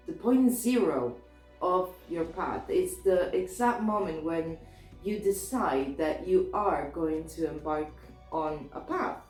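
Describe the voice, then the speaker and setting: A woman with a sore throat talks through a tarot reading in a loose, chatty way, often stopping and restarting her sentences. Her voice is a little hoarse and sits on the low side. The room echoes, and soft music plays in the background. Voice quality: slightly hoarse. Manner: chatty, hesitant, many restarts. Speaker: tarot reader. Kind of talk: casual weekly tarot reading.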